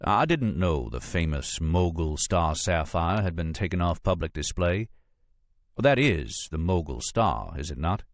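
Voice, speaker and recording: Man playing a creamy, drawling, self-assured gentleman agent, very low-pitched. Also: animated, no room sound. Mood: smooth, probing. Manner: formal, unhurried, precise. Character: courteous, self-assured male FBI agent, drily witty. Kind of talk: audiobook character dialogue.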